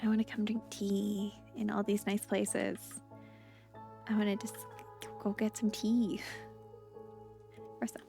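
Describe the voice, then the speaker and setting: A woman giving casual, playful gameplay commentary as a video host, chatty and quick to exclaim. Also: medium pitch, flat delivery, vocal fry, dark tone, soft background music.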